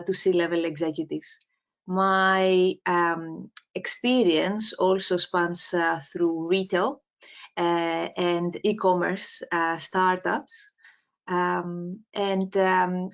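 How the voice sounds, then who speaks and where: A woman with a Greek accent introducing herself on a webinar, speaking slowly with many hesitations. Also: on the low side. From Greece. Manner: slow, measured, many hesitations. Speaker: webinar panelist. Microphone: video call. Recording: muffled video call audio.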